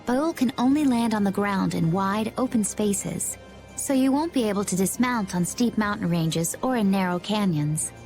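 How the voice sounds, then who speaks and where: A woman playing a composed, enigmatic young warrior in an anime-style video game, with measured delivery. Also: mid-range voice, animated, a little mellow, soft background music, lightly acted. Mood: calm, matter-of-fact.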